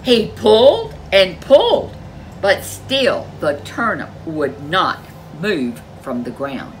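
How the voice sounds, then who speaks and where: A woman's voice: lowish voice, sing-song, slow pace, a little hiss or hum. A woman storyteller reading a folk tale aloud in a dramatic, emphatic way. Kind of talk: animated folk tale narration for children.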